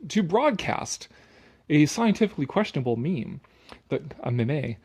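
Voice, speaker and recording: This is a Canadian man with a fairly high voice for a male speaker. He reads aloud dramatically and breaks off into casual, opinionated commentary. His manner is sarcastic and exasperated, with plenty of profanity. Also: mellow, breathy edge. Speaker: commentator reading an article aloud.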